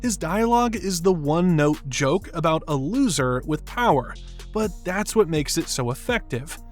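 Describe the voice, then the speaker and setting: A man's voice: a little high, animated, moderate pace, soft background music. A man reading scripted video-essay narration in an emphatic, opinionated way.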